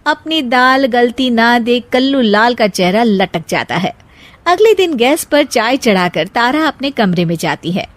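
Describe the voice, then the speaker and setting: Female story narrator: animated, swooping intonation, fairly high pitch, scripted storybook delivery. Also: a little slow, dry recording.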